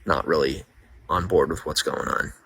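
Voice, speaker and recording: Low-voiced man chatting on a livestream. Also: normal speed, vocal fry, a bit bright, husky. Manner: rambling, greets viewers by name, reads out comments. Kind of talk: casual live-stream chat.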